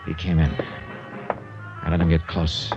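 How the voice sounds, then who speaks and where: An actor playing a tough, wry, confident investigator with an American accent, punchy and sardonic in 1950s radio style. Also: male voice, a bass voice, monotone, a little slow, creaky, exaggerated voice. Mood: tense, urgent. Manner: punchy, hard-boiled, sardonic. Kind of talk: 1950s radio acting and first-person narration. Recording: dull old radio recording with music.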